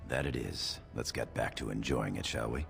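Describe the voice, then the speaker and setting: An actor playing a loyal male dwarf knight in a video game, formal and measured, with a deep gravelly voice. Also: over music, full character voice. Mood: wry.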